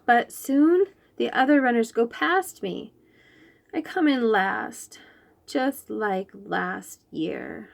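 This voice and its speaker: A woman reading a children's picture book aloud in an expressive, animated storytelling manner. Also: on the high side, takes it slowly, creaky.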